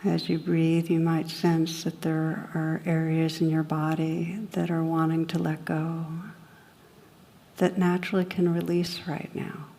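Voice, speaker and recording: Low, mellow woman guiding meditation. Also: monotone, gravelly. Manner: gentle, soothing, with long pauses between short phrases. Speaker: meditation guide. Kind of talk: guided meditation.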